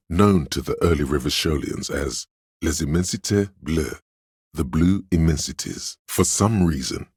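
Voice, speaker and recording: A man voicing a learned, pedantic inner voice for a video game, narrating trivia in an erudite, measured, matter-of-fact way with dry asides. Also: a little mellow, no room sound, exaggerated voice. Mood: measured, scholarly. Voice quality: very deep, husky.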